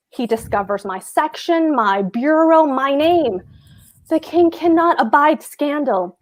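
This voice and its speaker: Woman playing a pompous, high-voiced fretful husband. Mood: anxious. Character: fussy, pompous, anxious husband, a government clerk. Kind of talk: cold table read of a stage comedy.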